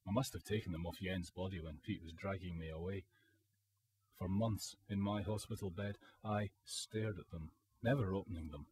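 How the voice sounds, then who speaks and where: A man's voice: sits low, steady tone, normal speed, a little mellow, natural voice. A man acting a weary wounded young soldier in a radio drama, sombre and reflective about the war. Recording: faint hiss, student radio drama.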